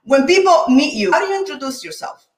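A woman's voice: high voice, lively intonation, fast talker, reverberant. A woman hosting an interview show, speaking in an earnest, rallying way as she introduces her guest and asks questions. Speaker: show host.

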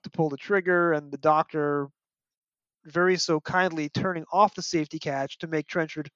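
Middle-aged male podcast guest, higher-pitched, opinionated storyteller, casual book talk, dull dry recording. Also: steady tone, normal speed, fairly bright tone, dry recording. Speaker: podcast guest, fan and parent.

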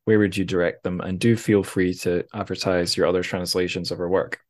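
A man's voice: low-pitched, little pitch movement, brisk. A man hosting an interview podcast in casual chat, hesitant and often correcting himself as he goes.

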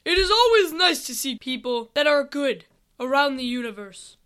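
A woman voicing a commanding, formal male leader of an otherworldly army in a storybook, loud and declamatory. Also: sits high, expressive, moderate pace. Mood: proud, grave. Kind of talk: storybook reading.

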